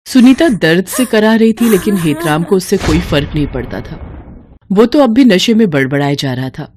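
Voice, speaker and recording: A woman's voice: on the low side, lively intonation, moderate pace, a little mellow, slight background noise. A woman storyteller delivering scripted, dramatic narration of a moral tale.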